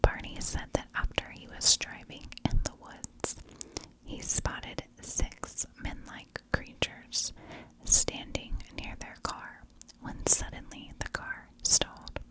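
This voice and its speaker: A woman narrates a story as an ASMR host, entirely in a whisper. Her delivery is very slow and deliberate, each phrase set down in a hushed, unvoiced breath. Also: poor audio. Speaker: ASMR true crime host.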